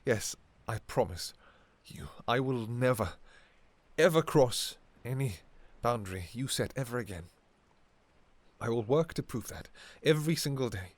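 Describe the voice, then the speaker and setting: A man playing an ancient, devoted vampire king and doting father in an intimate romantic audio roleplay, speaking softly and haltingly with sentimental endearments. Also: medium pitch, lively intonation, no echo. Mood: pleading, earnest. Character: tender, devoted two-thousand-year-old vampire king, doting father.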